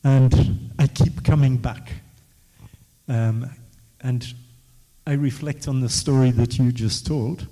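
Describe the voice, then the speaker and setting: A man's voice: medium pitch, fairly even intonation, slow, warm tone, big-room sound. A man from West Africa whose first language is Creole, asking questions and reflecting at a book launch. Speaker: audience member and author.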